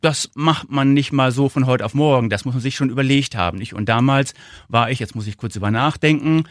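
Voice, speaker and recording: A man in his fifties with a North German accent, answering interview questions in a measured, explanatory way. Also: medium pitch, no room sound, studio quality. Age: 50s. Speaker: interview guest, foundation founder.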